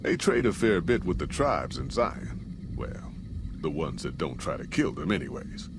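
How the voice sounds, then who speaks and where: An American actor playing a gruff, folksy caravan boss in a post-apocalyptic video game, with plain-spoken frontier drawl. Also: male voice, a bass voice, big pitch swings, a little slow, heavy background noise, heavily acted. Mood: matter-of-fact. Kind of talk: scripted video game character dialogue.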